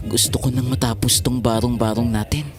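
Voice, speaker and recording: A man's voice: mid-range voice, fairly even intonation, a little slow, natural voice. A man acting the part of a frustrated young husband weighed down by hardship in an audio drama. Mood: grateful, subdued. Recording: music behind the voice.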